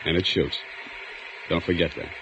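An actor playing a touchy, menacing young escaped convict in a 1940s radio drama, curt and edgy. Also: male voice, sits low, fairly even intonation, moderate pace, lightly acted. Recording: muffled old radio broadcast, loud hiss.

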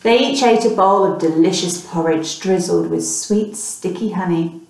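A woman's voice: on the low side, moderate pace, gravelly, a little mellow. Female storyteller, thirties, reading to a small child, very echoey room, distant mic. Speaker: storytelling teacher and mother. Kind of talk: reading a picture book aloud to a child. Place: small plain room indoors.

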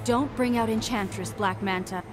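A woman's voice: mid-range voice, normal speed, close to an everyday voice. A woman voicing a troubled, earnest young woman in a video game, speaking as if in warning. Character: troubled young woman struggling with the demon inside. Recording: loud music behind the voice.

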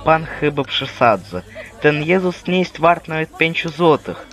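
A man playing a poor, humble friar in an animated religious play, speaking earnestly and plainly. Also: a little high, unhurried, soft background music. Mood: disparaging.

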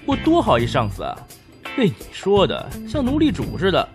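A man's voice: high voice, wildly swooping pitch, moderate pace, exaggerated voice. A man playing a teasing, cheeky young boss and buddy in an amateur audio drama, with a playful manner. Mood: mock reproach. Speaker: amateur voice actor. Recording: loud music behind the voice.

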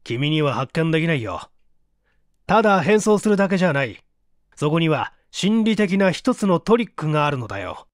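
Man acting a smug, lively young schemer. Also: on the high side, moderate pace, dry recording, clean studio sound. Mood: confident, eager. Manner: confident, teasing. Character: smug, eager young man proposing a disguise game.

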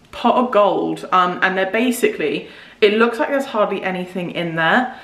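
A woman's voice: on the low side, fairly bright tone. Chatty woman in an echoey room. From South West England. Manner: chatty, lots of fillers and likes. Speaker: vlogger. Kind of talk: casual grocery haul chat. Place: home living room, indoors. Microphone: camera mic at seated distance.

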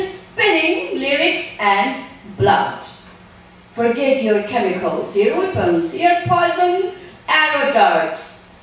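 A middle-aged woman speaking and reading poetry on a stage in a deliberate, measured way. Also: high voice, recorded from a distance, slight background noise. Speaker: guest poetry reader. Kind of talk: introducing and reading spoken-word poetry. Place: small theater stage, indoors. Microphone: handheld microphone, recorded by a distant camera. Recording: very echoey room, picked up from afar.

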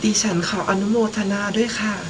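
A woman's voice: a little fast, natural voice. A young woman playing a caring, dutiful wife and mother in a student radio drama. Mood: gentle, respectful. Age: young adult. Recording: clearly noisy background.

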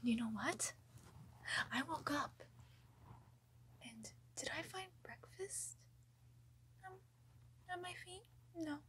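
A young woman in an ASMR roleplay playing a bossy, controlling girlfriend, speaking in slow, menacing, breathy whispers close to the mic in a small bedroom. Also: high-pitched, animated, fairly bright tone, slight background noise, exaggerated voice. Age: young adult. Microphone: close camera mic.

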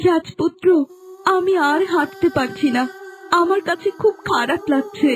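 A young cartoon fairy-tale heroine, played by a woman, with an extremely high, girlish voice. She is gentle and polite with a plaintive edge, and music runs behind her. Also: moderate pace. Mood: sorrowful.

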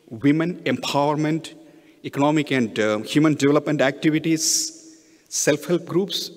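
Middle aged guy priest giving a homily, kinda halting and earnest, mid pitch, big reverby church. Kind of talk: homily with personal missionary stories. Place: church, at the pulpit. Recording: long hall reverb.

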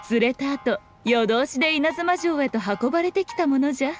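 A woman's voice: high voice, animated, moderate pace, faint music behind. A woman voicing a sly, haughty fox-spirit shrine maiden in a Japanese anime game, with teasing, archaic noble speech. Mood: inviting.

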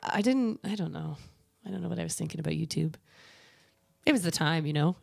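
A woman's voice: low voice, a little fast, gravelly, a little mellow, husky, dry recording. A woman in her thirties, a guest musician, chatting casually and laughing often at herself in a radio studio. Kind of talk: casual radio interview chat. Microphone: studio microphone.

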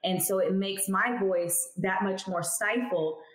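A woman's voice: mid-range voice, unhurried. Emphatic woman on a roomy video call. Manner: candid, emphatic storytelling. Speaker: guest, cannabis lab chemist. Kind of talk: casual interview talk. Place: home room, indoors, video call. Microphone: headphones on video call.

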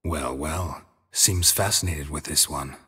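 An actor playing a gruff, world-weary monster hunter in a video game, with a dry, laconic, deadpan delivery. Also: male voice, measured pace, dry recording, full character voice. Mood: dry, unhurried. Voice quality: low, gravelly rasp. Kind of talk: video game cutscene acting.

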